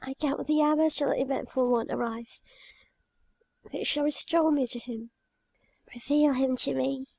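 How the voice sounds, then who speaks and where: A woman playing a gentle, pious young girl who is dying, speaking softly and weakly in a dramatic audiobook reading. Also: high-pitched, a little slow, long pauses, no echo. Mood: devout, calm. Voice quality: soft, weak, breathy. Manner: calm, gentle, tender.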